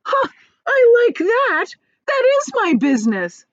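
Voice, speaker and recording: A woman voicing a stubborn, panicky male earthworm in a children's storybook, protesting with loud indignation. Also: extremely high pitch, huge pitch swings, slow pace, no room sound, muffled recording, voice pushed up, exaggerated voice. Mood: panicked, outraged. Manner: protesting, blustering, exclamatory. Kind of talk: children's storybook character reading.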